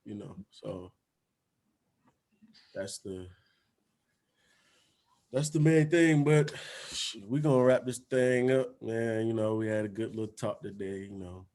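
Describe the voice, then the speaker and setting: A man's voice: lowish voice, slow pace, pauses often, a little mellow. A man hosting a casual podcast chat, talking in a laid-back, slangy way, swearing often and asking "you feel me". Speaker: podcast host.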